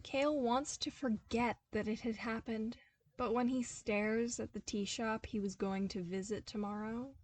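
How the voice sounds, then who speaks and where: A woman reading a fan story aloud as a podfic, narrating with animated, emphatic bursts. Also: mid-range voice. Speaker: podfic reader. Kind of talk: expressive fanfiction narration.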